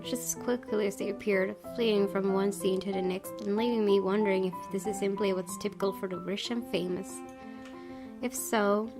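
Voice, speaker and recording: Young female let's player, mid-pitched, casual chat mixed with reading game text, music clearly audible behind her. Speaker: gaming video host.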